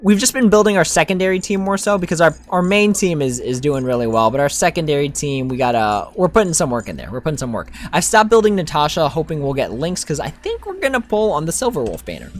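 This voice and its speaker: A woman's voice: deep voice, big pitch swings, quick pace, few pauses, a little hiss or hum. A woman giving casual gameplay commentary as a streamer, thinking aloud in an informal way. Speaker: game streamer.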